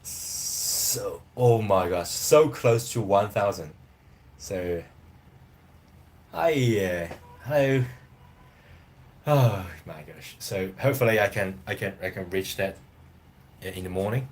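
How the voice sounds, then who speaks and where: Low-voiced man chatting on a stream. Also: slow, long pauses. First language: Chinese. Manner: rambling, hesitant, loose phrasing. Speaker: language teacher live-streaming. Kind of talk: casual live-stream chat.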